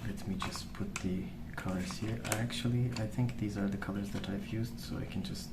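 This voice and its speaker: A man rambling softly in an ASMR style, hushed, hesitant and unhurried. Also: lowish voice, fairly even intonation. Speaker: ASMR video host. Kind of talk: soft-spoken ASMR rambling. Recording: roomy, distant mic with clear noise.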